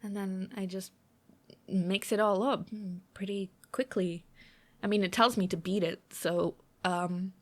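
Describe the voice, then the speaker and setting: Flirty female roommate, played by a woman, ASMR roleplay. Unhurried, mid-pitched, teasing and a little hesitant. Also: fairly even intonation, close to an everyday voice. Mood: casual, unsure.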